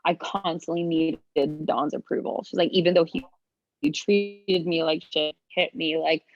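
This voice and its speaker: A woman's voice: deep voice, steady tone, a little slow, muffled recording. A woman in a book club, talking in an easygoing way and swearing casually.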